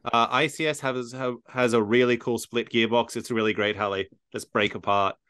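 A man's voice: medium pitch, level tone, normal speed, a bit bright, clear, resonant tone, dry recording, studio quality. A man hosting a casual interview podcast, encouraging and agreeable. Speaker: podcast host.

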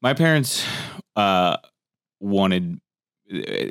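A man's voice: low-pitched, very animated, extremely slow, fairly bright tone, clean tone, no room sound, studio quality. A man hosting a podcast interview, chatting loosely and swearing casually, his voice jumping up when he asks questions. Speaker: podcast host.

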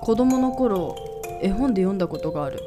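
A woman's voice: mid-range voice, animated, close to an everyday voice. Woman acting brooding cool young man, music behind. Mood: wistful. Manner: slow, reserved, terse, wistful. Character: cool brooding young man, former member of shady organization.

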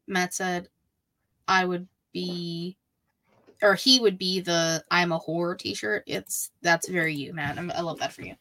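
A woman's voice: fairly low pitch, fairly even intonation, unhurried, sharp tone, no room sound. A woman co-hosting a podcast, chatting casually as a wrestling fan. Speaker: podcast co-host.

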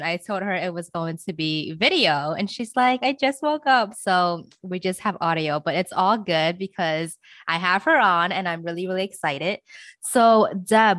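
A woman's voice: lowish voice, a bit bright, no echo. A young woman hosting a podcast, chatty and bubbly, laughing often and rambling through her questions. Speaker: podcast host. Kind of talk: casual interview chat.

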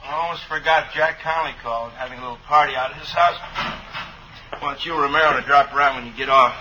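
A man's voice: on the high side, fairly even intonation, fast, lo-fi sound, lightly acted. A man acting a weary, folksy police sergeant on night duty in a 1950s radio crime drama. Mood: resigned, chatty. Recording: noisy vintage radio recording.

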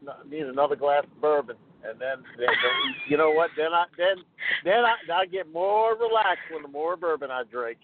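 A man's voice: on the high side, huge pitch swings, moderate pace. A man in casual chat, hesitant and flustered as he jokes along on the spot. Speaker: show participant put on the spot. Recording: tinny phone line with clear noise.

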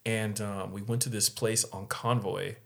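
A man co-hosting a casual podcast, telling a story in an animated way and acting out the people in it. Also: fairly low pitch, a little slow, creaky. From San Diego area. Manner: animated, acts out quoted voices. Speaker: podcast co-host.

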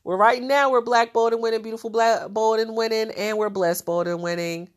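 A woman's voice: fairly high pitch, steady tone, a little fast. A woman with a nasal, stuffed-up voice talking in a casual diary vlog, chatty and laughing often. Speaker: vlogger.

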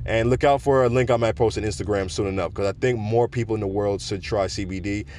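A deep-voiced man, a podcast host, giving his opinions in a relaxed, conversational monologue and projecting his voice. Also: fast talker, slight background noise. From South Florida. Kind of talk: casual solo podcast rant.

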